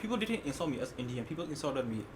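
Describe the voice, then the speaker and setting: A man's voice: medium pitch, big pitch swings, racing, background noise. A man co-hosting a casual podcast, making wry, laid-back remarks among friends. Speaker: podcast co-host. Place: indoor room with friends.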